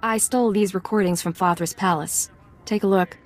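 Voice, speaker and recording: A woman's voice: medium pitch, steady tone, moderate pace, close to an everyday voice. A woman playing a cool, businesslike young secret agent in a video game, controlled and direct. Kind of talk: scripted video game acting. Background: music.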